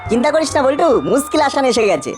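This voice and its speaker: Woman voicing a quick-talking cheeky cartoon boy. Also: high-pitched, sing-song, music in the background. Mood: cheeky, scheming. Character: cheeky, quick-witted ten-year-old boy schemer. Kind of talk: comic cartoon dialogue.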